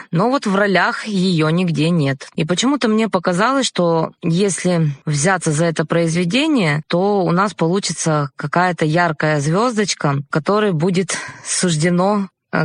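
A woman's voice: lowish voice, no room sound. A woman director giving an informal spoken account of her own work. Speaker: audio play director and sound editor.

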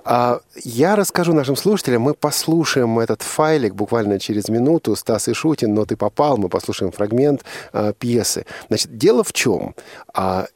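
A man's voice: mid-range voice, expressive, moderate pace, a little mellow, dry recording. A man hosting a radio show from a studio, asking probing questions in a conversational style and rephrasing as he goes. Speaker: radio host and interviewer.

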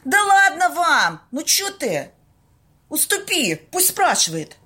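A woman acting a bossy, streetwise young woman in an amateur audio play, husky, slangy and blunt. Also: high-pitched, sing-song, sharp tone, heavily acted. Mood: excited. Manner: slangy, blunt, drawn-out exclamations.

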